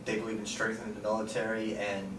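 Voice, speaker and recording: A man in his twenties answering interview questions calmly and plainly, with frequent ums. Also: on the low side, fast. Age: 20s. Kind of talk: sit-down interview. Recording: roomy, distant mic, noisy.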